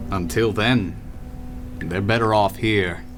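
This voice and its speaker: Radio drama tycoon, male actor: imperious and formal, unhurried low delivery, noisy recording. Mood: measured, cold. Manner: measured, oratorical, formal. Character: imperious male city founder and tycoon, cold, grandiose. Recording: steady background noise over voice.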